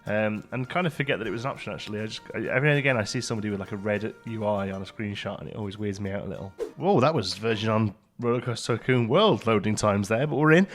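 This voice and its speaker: A man's voice: lowish voice, rapid-fire, few pauses, soft background music. A British man hosting a let's play, chatting casually and wryly as he thinks aloud. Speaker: gaming video host.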